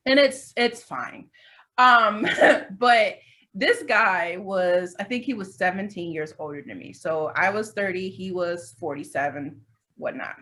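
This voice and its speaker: A woman's voice: mid-range voice, measured pace, pushed and bright. A woman in her thirties telling candid stories in a casual chat, with lots of fillers and restarts. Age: 30s. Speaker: podcast co-host.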